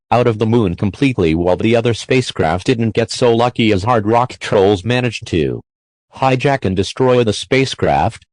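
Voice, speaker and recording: A man's voice: on the low side, a little mellow, no echo, rough recording. A man reading a scripted emergency bulletin in a formal manner as an alert announcer.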